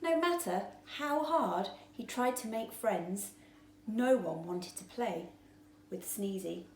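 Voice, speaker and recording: A woman reading her own children's picture book aloud with slow, expressive storytelling. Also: mid-range voice. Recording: roomy echo, faint background noise.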